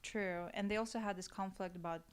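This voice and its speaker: A woman's voice: medium pitch, fairly even intonation, a little fast, clean tone. A woman from Ukraine and Russia with a Russian accent, talking casually as a guest in an interview. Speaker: podcast guest, actress.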